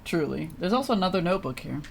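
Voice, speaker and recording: A woman's voice: fairly low pitch, lively intonation, very fast, creaky, slightly rough. A woman doing casual, chatty live gameplay commentary as a streamer, giggly and free with swearing. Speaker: game streamer.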